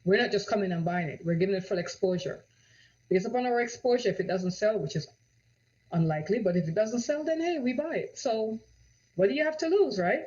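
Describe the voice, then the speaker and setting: A woman's voice: medium pitch, animated, brisk, darkish tone. Jamaican-accented woman real estate agent, pushy rhetorical sales talk, dull lo-fi recording. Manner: persuasive, rhetorical questions, repeats "right?". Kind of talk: direct-to-camera sales pitch. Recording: dull lo-fi audio.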